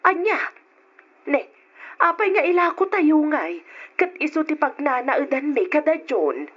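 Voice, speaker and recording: A woman's voice: high voice, very animated, measured pace, a bit bright, full character voice. A woman playing a patient, worried middle-aged mother in an old Ilocano radio drama, recorded with a muffled sound. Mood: worried, upset. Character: patient, gentle, worried mother of grown children.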